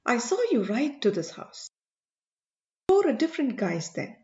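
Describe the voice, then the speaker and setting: A woman's voice: fairly high pitch, big pitch swings, normal speed, mellow, echoey room, highs cut off. A woman voicing a respectful but wary man in an audiobook, deferential yet insistent in tone. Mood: wary, challenging. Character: respectful, wary man questioning his holy elder. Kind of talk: audiobook character dialogue.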